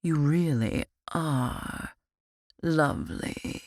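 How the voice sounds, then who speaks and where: A sadistic male demon torturer, as an audiobook narrator puts him on, dragging each word out slow and soft with cruel relish. The voice sits at a middling pitch, dry and close with no room around it. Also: expressive, gravelly, dry recording, full character voice. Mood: silkily menacing. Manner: slow, drawn-out menacing delivery. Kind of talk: audiobook character voice.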